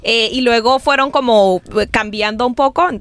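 A woman's voice: on the high side, moderate pace, a bit bright. A woman co-hosting a podcast, introducing her guest casually and with enthusiasm. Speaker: podcast co-host. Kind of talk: casual chat.

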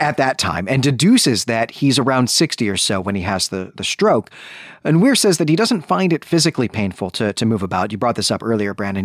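A man with a lively, varied pitch recaps a book as a podcast co-host. He explains things step by step in a conversational way, and the sound is dry and close, with no room echo.

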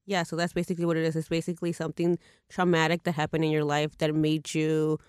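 A woman's voice: sits low, monotone, quick pace, darkish tone, clear, resonant tone, dry recording, studio quality. Hesitant woman co-host. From United States. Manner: hesitant with "um" starts, self-conscious. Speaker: podcast co-host and tarot reader. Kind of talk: casual podcast chat.

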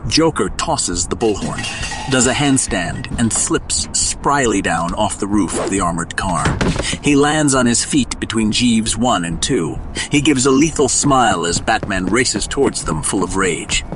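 A man's voice: fairly low pitch, animated, unhurried, background noise. A man narrating a scripted audio drama in a dramatic, descriptive storytelling manner. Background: music.

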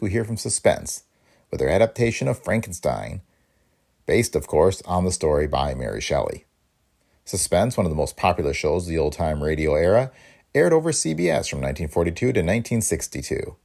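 A man with a very low, gravelly voice presents a scripted podcast narration. His delivery is animated, rising and dipping across each phrase as a host would.

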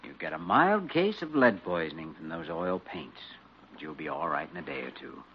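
Confident, teasing young male physician for vintage radio drama: animated, brisk, wry, on muffled old audio. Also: low-pitched, breathy edge, heavily acted. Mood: decisive. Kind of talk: 1940s radio acting. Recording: muffled old radio recording, light noise.

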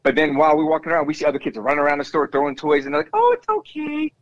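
A man with a bass voice talks with rising passion, stacking up repeated words as he breaks in. The sound is dry and a little dull, with no room around it. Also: very animated, racing, no room sound. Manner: impassioned, repeats himself when cutting in. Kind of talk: casual discussion.

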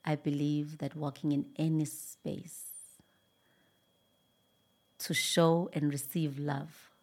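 A woman in her thirties, a podcast guest, speaking in a reflective, measured way and laughing often. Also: low voice, level tone, a little mellow. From South Africa. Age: 30s. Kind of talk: podcast interview.

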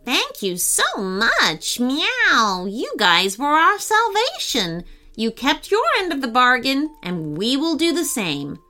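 A squeaky, eager young cat from a storybook, played by a woman, tagging her words with little meows. Her voice is high and jumps all over, with faint music behind. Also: wildly swooping pitch, takes it slowly, bright, forceful tone, full character voice. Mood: grateful. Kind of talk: storybook reading.

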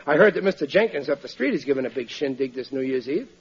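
An actor playing a hapless, stubbornly optimistic working-class husband in a 1940s radio sitcom. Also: male voice, medium pitch, lively intonation, fast, husky. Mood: eager, boastful. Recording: dull vintage 1940s radio recording.

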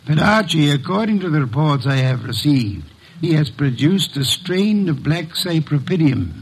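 An actor playing a pompous, grumbling detective genius in an old-time radio drama, speaking in formal, curt phrases. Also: male voice, fairly high pitch, big pitch swings, moderate pace, slightly rough, exaggerated voice. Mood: haughty, dry. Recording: noisy vintage radio recording.

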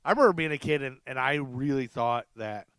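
A man's voice: mid-range voice, lively intonation, a little fast, fairly bright tone, clean tone, dry recording. A middle-aged man hosting a podcast in casual chat, with a rambling, self-deprecating way of talking. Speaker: podcast host.

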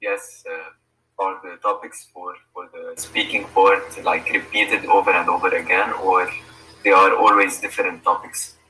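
A very deep, monotone man asks a question on a podcast call, his voice bright at the top. He speaks in short, halting phrases, with faint noise behind him. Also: rough recording. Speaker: caller asking a question. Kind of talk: live podcast Q&A.

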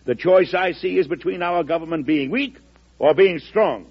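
An actor playing a plain-spoken, principled president, deliberate and probing, in old radio drama style. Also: male voice, a little high, slightly rough. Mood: firm, probing. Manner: deliberate, hesitant starts, probing questions, forceful conviction. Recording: muffled vintage radio recording.

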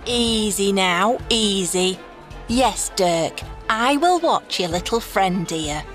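A woman's voice: mid-range voice, animated, takes it slowly, fairly bright tone, faint music behind. A woman playing a cocky, boastful elf adventurer in a fantasy audio drama, with a brash, showy manner. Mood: wry, bossy.

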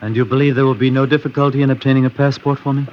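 A man's voice: mid-range voice, fairly even intonation, very fast, lightly acted. A man playing a cold, secretive murderer in an old-time radio drama, curt and controlled. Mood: guarded, evasive. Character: cold, secretive, elegantly dressed male murderer. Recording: muffled, noisy vintage radio recording.

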